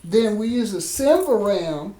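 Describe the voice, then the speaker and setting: A woman's voice: medium pitch, lively intonation, a little slow, mellow. An older woman with a Kansas City accent, telling folksy stories of her life as an interview guest. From Kansas City, USA. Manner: folksy, rhythmic, laughs while telling. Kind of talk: storytelling in conversation. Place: home in a rural village. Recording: roomy echo.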